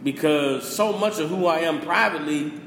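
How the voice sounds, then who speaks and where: A man's voice: on the high side, normal speed, a bit bright. Passionate cursing man in an echoey room. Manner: passionate, preachy, profane. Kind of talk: casual opinion rant. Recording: echoey room with faint hiss.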